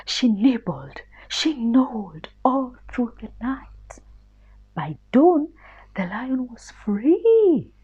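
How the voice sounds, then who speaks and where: A woman with a fairly high voice reads to children. Her delivery is extremely slow and teacherly, with very animated pitch, captured on a slightly dull recording. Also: husky. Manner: deliberate, teacherly. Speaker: children's story reader. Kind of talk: expressive read-aloud storytelling for children.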